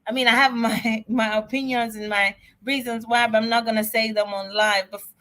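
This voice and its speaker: Bright-voiced woman hosting a livestream. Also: on the high side, flat delivery, fairly quick. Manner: emphatic, repeats herself, direct appeals. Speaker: immigration legal helper hosting a livestream. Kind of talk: casual livestream Q&A.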